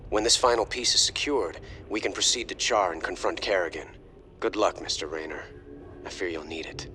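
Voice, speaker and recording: An actor playing a refined young male heir who briefs in a measured, formal way. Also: low voice, sharp tone, faint music behind.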